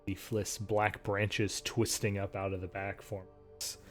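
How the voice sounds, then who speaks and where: A man running a tabletop game as game master, describing scenes in a relaxed way with many pauses. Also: lowish voice, soft background music.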